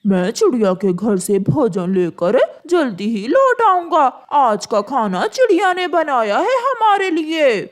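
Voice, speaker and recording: A woman's voice: sits high, big pitch swings, slow, few pauses, heavily acted. A woman voicing an earnest, anxious male crow, a devoted groom in a children's cartoon. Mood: eager, attentive. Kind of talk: children's cartoon character acting.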